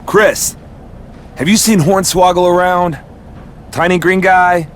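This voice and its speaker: A man's voice: high-pitched, steady tone, slow, slight background noise. A man playing an earnest wrestler in a video game cutscene. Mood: anxious.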